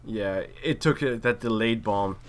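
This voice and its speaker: A man's voice: lowish voice, unhurried. A man giving casual, wry game commentary with some mild swearing and trash talk. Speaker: gaming video commentator and player. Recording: clearly noisy home recording.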